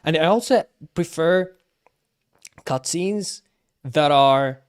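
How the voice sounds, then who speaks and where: Halting male streamer chatting casually. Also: a little high, clean tone. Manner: halting, repeats himself. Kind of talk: casual livestream commentary on game cutscenes.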